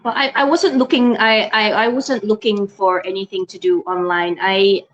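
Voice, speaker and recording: A middle-aged woman from the Netherlands talks casually as a guest on an online call. She pauses often, restarts her sentences and fills gaps with "uh". Her sound is a little dull and lo-fi. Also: mid-range voice, steady tone, a little hiss or hum. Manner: hesitant, many restarts and fillers, laughs often. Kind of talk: casual interview talk.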